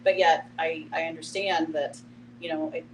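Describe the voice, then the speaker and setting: Low-voiced middle-aged woman, noisy distant recording. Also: fairly even intonation, moderate pace. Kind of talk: casual group discussion. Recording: noisy room, distant mic, hum.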